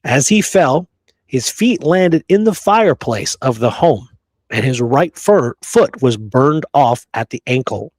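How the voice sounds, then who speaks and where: A man's voice: medium pitch, expressive, measured pace, darkish tone, no room sound. A man co-hosting a history talk show, reading accounts aloud and adding casual remarks. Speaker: show co-host.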